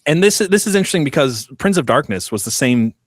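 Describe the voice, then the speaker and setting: A man's voice: on the high side, very animated, quick pace, no echo, studio quality. Chatty man hosting a movie podcast. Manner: enthusiastic, laughs often, restarts sentences. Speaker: podcast host. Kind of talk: casual movie discussion.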